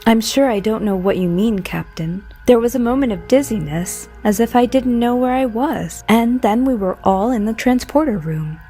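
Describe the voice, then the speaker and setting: An actress playing a coolly evasive starship officer in an audio drama, composed and innocent-sounding. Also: female voice, on the high side, moderate pace, few pauses, warm tone, faint music behind, close to an everyday voice. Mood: feigned innocence.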